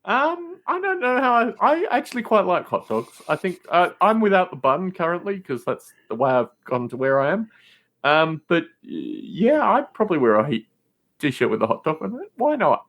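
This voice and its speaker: Male podcast guest, lively varied intonation, loose rambling conversational delivery. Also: sits high, moderate pace. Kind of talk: casual chat.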